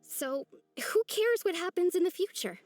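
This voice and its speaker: A woman playing a wistful, sincere young village girl in a video game, with soft music behind her. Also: extremely high pitch, animated, normal speed, dry recording. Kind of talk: video game dialogue acting.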